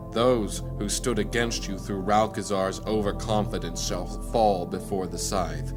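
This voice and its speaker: An actor playing a sinister, devout high priest of a dark god, solemn and deliberate, in a fantasy audio drama. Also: male voice. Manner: solemn, deliberate, reverent incantation. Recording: music playing behind.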